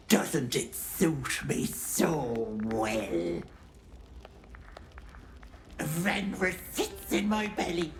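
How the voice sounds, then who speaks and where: A woman's voice: medium pitch, exaggerated voice. A woman playing a gleefully cruel, pregnant old hag in a video game, theatrical, taunting and menacing. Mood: gloating, mocking. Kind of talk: video game villain acting.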